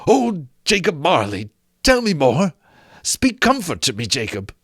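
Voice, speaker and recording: A man's voice: on the high side, big pitch swings, moderate pace, no echo, clean studio sound, pitched above the performer's own voice. A man voicing a frightened, trembling old miser who pleads anxiously, in a storybook reading. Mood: pleading.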